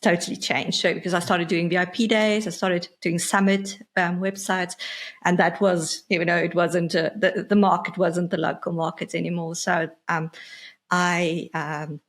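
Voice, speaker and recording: A South African woman in her thirties with an Afrikaans accent, a podcast guest chatting casually over a video call, hesitant with many fillers and soft laughs. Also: on the low side, little pitch movement, normal speed. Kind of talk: casual interview chat. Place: home office, indoors.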